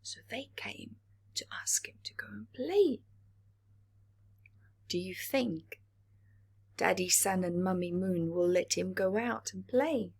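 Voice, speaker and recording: A woman reads a picture story to small children. She takes her time and moves her pitch up and down in an animated way, stepping out of the text to explain and ask questions. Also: takes it slowly, creaky, pauses often, slightly rough. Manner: expressive, asks the listeners questions. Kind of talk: story read-aloud with explanations for young children.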